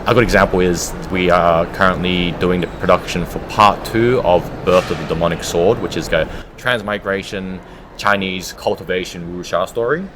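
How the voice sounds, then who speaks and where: A man's voice: low-pitched, steady tone, normal speed, background noise. A man giving conversational, explanatory answers as an audiobook producer being interviewed.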